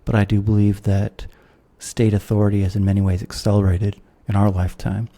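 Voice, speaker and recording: A man's voice: fairly low pitch, level tone, fairly quick, mellow. An American man, a guest philosopher, talking through ideas in a thoughtful, professorial way with the odd wry laugh. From United States. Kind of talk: conversational interview.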